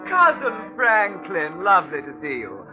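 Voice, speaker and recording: Gushing high-voiced society dandy. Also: male voice, big pitch swings, a little slow. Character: dashing, gushing English society ladies' man. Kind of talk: 1950s radio drama acting. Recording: tinny old radio recording, music behind.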